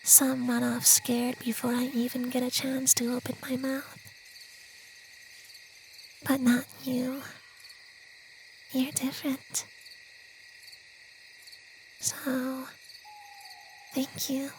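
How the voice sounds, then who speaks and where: Slow, high, whispery gentle vampire played by a man. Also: monotone, slight background noise, heavily acted, poor audio. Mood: gentle, confiding. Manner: soft, breathy, half-whispered, slow and soothing. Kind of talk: ASMR roleplay.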